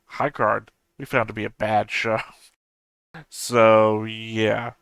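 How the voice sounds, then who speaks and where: Gravelly guy in his 30s rambling off the cuff about an old anime, flat low voice, dry close mic. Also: slow, no room sound. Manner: off-the-cuff, self-correcting, dry humor. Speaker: anime reaction channel host. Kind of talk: casual rambling commentary.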